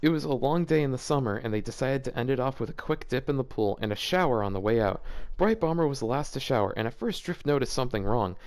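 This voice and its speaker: A man's voice: medium pitch, animated, fast, few pauses, a little mellow. A man hosting a casual read-aloud of fan fiction, breaking it up with incredulous, joking commentary. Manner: incredulous asides breaking up the reading.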